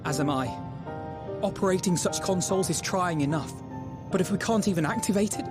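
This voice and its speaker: A man's voice: sits high, fairly quick, close to an everyday voice. A man playing an articulate, precise young scholar in a video game. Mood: mildly exasperated. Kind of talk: dramatic video game voice acting. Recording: music behind the voice.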